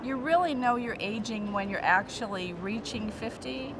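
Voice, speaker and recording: A woman's voice: fairly high pitch, moderate pace, a crowd in the background. A woman in her forties casually giving her opinion as an interviewee. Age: 40s. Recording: clearly noisy background.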